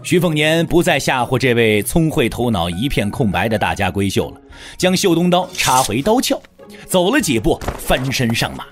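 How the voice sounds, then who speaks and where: Highly animated male storyteller. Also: moderate pace. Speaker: narrator. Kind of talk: dramatic scripted storytelling narration. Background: faint music.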